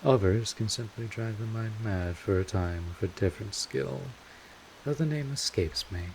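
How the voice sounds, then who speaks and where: A man playing a gruff but caring vampire mentor in an audio roleplay, explaining things in an informal, rambling, mildly exasperated way. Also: fairly low pitch, normal speed, a little mellow, a little hiss or hum, poor audio. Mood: instructive, matter-of-fact. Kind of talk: scripted audio roleplay addressed to the listener.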